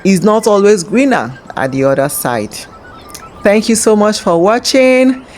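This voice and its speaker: A woman narrating a scripted folktale as host, in a measured, dramatic storytelling manner. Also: mid-range voice, sing-song, darkish tone, soft background music.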